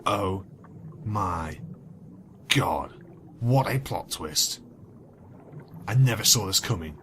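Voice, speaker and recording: A man's voice: low voice, expressive, slow, a bit bright, a little hiss or hum. A man reading fan fiction as a narrator, theatrical, with sarcastic, wry asides. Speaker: narrator and commentator.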